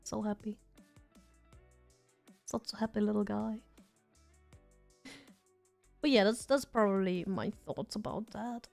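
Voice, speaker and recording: A woman's voice: medium pitch, steady tone, takes it slowly, creaky, long pauses, a little mellow, faint music behind. A woman streamer chatting casually and rambling as she loses her voice. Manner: rambling, chatty, self-deprecating. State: losing her voice. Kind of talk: casual live-stream chat.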